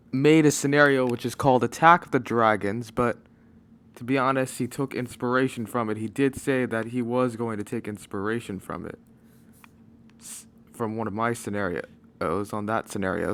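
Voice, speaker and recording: A man giving a casual, unscripted channel announcement as a video creator, halting and rambling as he goes, on a microphone with no pop filter. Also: mid-range voice, a bit bright. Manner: rambling, halting, often losing his train of thought. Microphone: microphone without pop filter.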